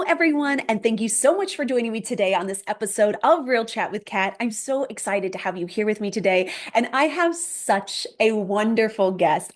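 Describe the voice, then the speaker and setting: A woman hosting a podcast interview, upbeat and enthusiastic. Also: fairly high pitch. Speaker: podcast host.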